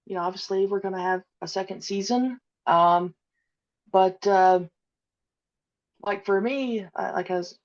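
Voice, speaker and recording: A woman being interviewed about her audio drama, speaking hesitantly with many pauses and fillers. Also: mid-range voice. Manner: hesitant, many ums and uhs. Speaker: podcast guest, audio drama creator. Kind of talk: casual interview chat. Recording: dull lo-fi audio.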